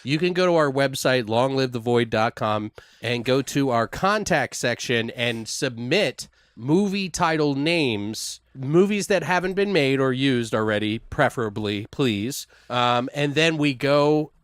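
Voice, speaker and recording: A man's voice: mid-range voice, expressive, a little slow, clean tone, dry recording. Casual, sweary man hosting a podcast, dry close sound. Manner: informal, profane, frequent joking. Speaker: podcast host. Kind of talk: casual horror podcast banter.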